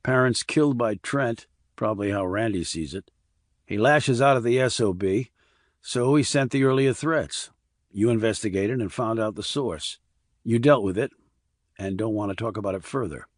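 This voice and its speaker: A man's voice: on the low side, moderate pace, no room sound, studio quality, lightly acted. A man voicing a terse, probing military investigator with clipped, direct questioning in an audiobook. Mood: probing, matter-of-fact. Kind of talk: audiobook character dialogue.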